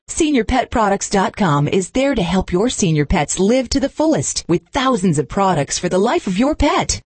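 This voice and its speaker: Animated husky female ad announcer. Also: mid-range voice, no room sound. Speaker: sponsor announcer. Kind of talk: scripted radio ad read.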